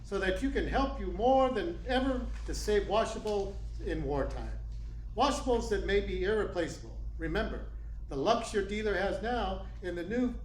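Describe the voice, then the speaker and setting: An eager 1940s radio pitchman, acted by a man, with a high voice that swings up and down as he sells soap. The recording is echoey, with faint noise behind. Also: expressive, moderate pace. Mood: upbeat selling. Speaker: radio announcer.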